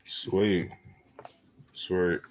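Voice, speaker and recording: A man's voice: low-pitched, level tone, drawn-out words. A man hosting a podcast interview, chatting casually with slangy, informal phrasing. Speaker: podcast host.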